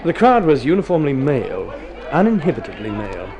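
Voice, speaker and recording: A man narrating a scripted story in golden age radio drama style, with measured dramatic phrasing. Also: mid-range voice, big pitch swings, muffled recording, a crowd in the background, noisy background. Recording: vintage radio drama recording. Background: prominent music.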